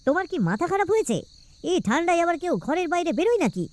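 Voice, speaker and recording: A woman's voice: very high-pitched, big pitch swings, racing, a little mellow, no echo. A woman voicing a lazy, grumbling village husband in a cartoon. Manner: whining, grumbling. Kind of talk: cartoon voice acting.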